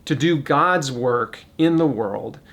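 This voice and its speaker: A man's voice: mid-range voice, lively intonation, slow, vocal fry, a bit bright. An American man speaking informally and off the cuff as a video host, earnestly encouraging listeners to support a cause. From United States. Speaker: YouTube host making a charity fundraising appeal. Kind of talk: casual, unscripted appeal to camera.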